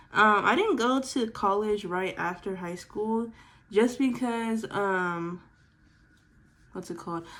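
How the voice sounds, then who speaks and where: A 22-year-old woman talking casually about herself in a get-to-know-me vlog while doing her makeup, with lots of "like" and "girl". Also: mid-range voice. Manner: chatty, filler-heavy, says "like" and "girl" a lot. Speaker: vlogger.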